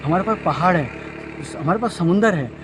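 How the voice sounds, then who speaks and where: A man from Uttarakhand speaks earnestly in a high voice, often restarting his sentences. He is recorded outdoors by a road with faint noise behind him. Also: expressive, a little fast. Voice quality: earnest, explanatory, many restarts. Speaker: pilgrim on a walking journey. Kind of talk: roadside interview.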